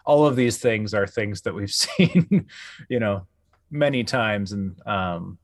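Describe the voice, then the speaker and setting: A man's voice: fairly low pitch, lively intonation, takes it slowly, no echo, clean studio sound. A man co-hosting a podcast, chatting casually and often hesitating as he rephrases his thoughts. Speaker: podcast co-host.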